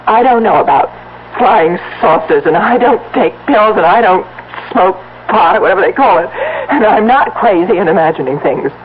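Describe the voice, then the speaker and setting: An actress in a radio drama playing a frightened, insistent wife, speaking in a halting, emphatic, earnest way. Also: female voice, medium pitch, expressive, natural voice. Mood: indignant, distraught. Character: frightened, insistent wife who saw a faceless man. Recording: tinny, band-limited, noisy old radio broadcast.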